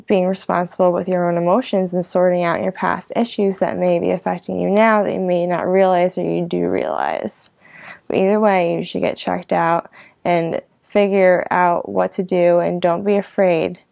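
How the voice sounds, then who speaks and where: A woman with a fairly low voice and a strong vocal fry, recorded close and dry. She rambles casually like a vlogger sharing reflections, looping through asides and peppering her sentences with "like" and "you know". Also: a little mellow, no echo, lo-fi sound. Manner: informal, meandering, filler-heavy with frequent "like" and "you know". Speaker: host of her own online show.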